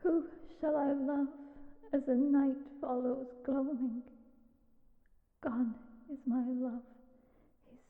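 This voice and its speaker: Drenched in long reverb and somewhat muffled, a mournful woman singing in a gothic audio drama. Her high voice moves slowly and plaintively. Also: level tone.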